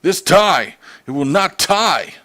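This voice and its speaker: A man's voice: high-pitched, big pitch swings, slow, voice pushed up, heavily acted. A man voicing a blustering Victorian father in a sarcastic rage over his tie, reading it aloud from a storybook. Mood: furious sarcastic. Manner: loud, exasperated, mock-dramatic.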